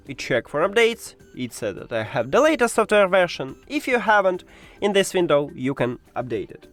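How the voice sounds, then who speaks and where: A man who is a non-native English speaker, presenting a step-by-step tech tutorial and addressing the viewer directly with instructions. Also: a little high. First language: not English.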